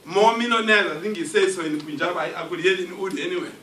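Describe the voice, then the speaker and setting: A man's voice: high voice, fast. A man, jovially giving a motivational testimony to a hotel audience. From Zimbabwe. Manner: jovial, jokes with the crowd. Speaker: guest speaker giving a testimony. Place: meeting room in a hotel. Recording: echoey hall, distant mic, faint noise.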